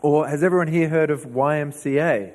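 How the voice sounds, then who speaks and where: A man's voice: on the high side, fairly quick, darkish tone. A man with an Australian accent, a pastor preaching a sermon in a conversational way and asking his congregation questions. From New South Wales, Australia. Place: church hall. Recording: church hall reverb.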